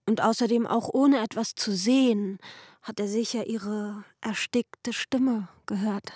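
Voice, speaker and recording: An actress playing an uneasy young lover in a period radio drama, speaking in a confiding way. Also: female voice, medium pitch, steady tone, unhurried, a little mellow, breathy edge, dry recording, studio quality.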